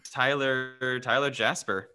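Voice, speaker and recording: A man's voice: medium pitch, steady tone, takes it slowly, a crowd in the background. A man chatting casually and jokingly as a guest co-host on a podcast. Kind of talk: casual comedic chat.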